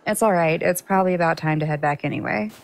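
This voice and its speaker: A woman's voice: on the low side, quick pace, natural voice. A woman playing a curious, casual young woman in an audio drama, with an informal, easygoing way of talking. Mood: wry, nervous.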